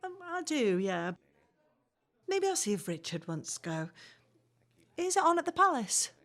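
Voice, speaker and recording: An actress playing a reassuring young working-class pregnant woman, gently coaxing, in a 1950s family audio drama. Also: high-pitched, big pitch swings, a little slow, heavily acted. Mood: easygoing.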